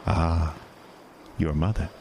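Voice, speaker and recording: A sinister carnival showman, deep and coaxing. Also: flat delivery, slow, a little mellow, slight background noise. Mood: sly. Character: sinister smooth-talking male carnival showman. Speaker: carnival owner. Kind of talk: horror audio drama.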